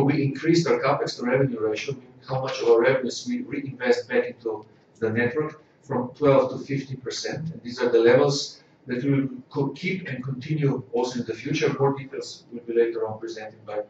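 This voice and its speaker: A man from Slovenia giving a corporate results presentation, measured and full of filler "uh"s. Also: mid-range voice, a little mellow. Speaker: company executive presenting results. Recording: echoey hall, distant dull mic, faint noise.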